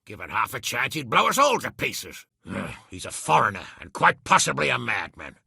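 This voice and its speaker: A hot-tempered medieval lord and commander from a video game. His voice is very forceful and hard-edged, gravelly and husky, with blunt, blustering delivery. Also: medium pitch, wildly swooping pitch, moderate pace, dry recording, clean studio sound, exaggerated voice. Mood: grave, weary. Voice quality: raspy, gravelly. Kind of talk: dramatic video game voice acting.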